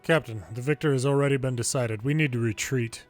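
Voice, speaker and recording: A man's voice: mid-range voice, a little fast, soft background music. A man reading video game dialogue aloud dramatically, acting out each character's lines in turn.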